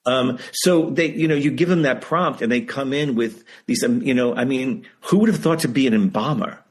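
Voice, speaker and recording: A man's voice: medium pitch, moderate pace, husky. A seventy-year-old man talking candidly as an interview guest, emphatic and repeating phrases for stress. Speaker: guest, author and writing teacher. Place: video call.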